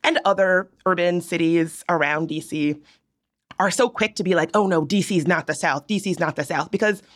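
A young woman from Virginia co-hosting a podcast, chatting casually and giving animated, opinionated takes. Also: fairly low pitch. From Virginia, US South. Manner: animated, opinionated, drawn-out storytelling. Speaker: podcast co-host. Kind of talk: casual chat.

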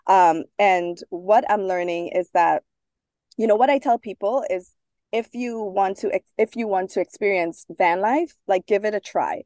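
A woman from Trinidad, a guest author speaking in a relaxed interview, thoughtful and sprinkled with fillers and restarts. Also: mid-range voice, moderate pace, dry recording, studio quality. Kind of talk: casual interview talk.

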